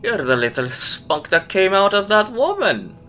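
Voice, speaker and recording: A game master voicing a cagey older man who once knew the hero's late father and talks in a slow, lecturing way. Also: sits high, sing-song, bright, forceful tone, pitched above the performer's own voice, exaggerated voice. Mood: guarded. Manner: cagey, lecturing, speaks slowly.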